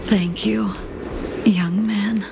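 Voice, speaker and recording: A woman playing a solemn, world-weary warrior in a video game, speaking in a hushed, measured and formal way. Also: mid-range voice, steady tone, creaky. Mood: grave. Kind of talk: video game dialogue acting. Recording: music under the voice.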